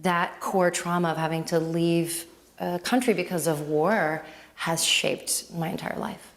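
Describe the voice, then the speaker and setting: A woman with a low voice and a light Lebanese accent, her first language Arabic. She talks as a guest in a relaxed interview, thinking aloud, circling back and restarting her sentences. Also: a little slow. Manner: reflective, with frequent fillers and restarts. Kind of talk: casual conversational interview.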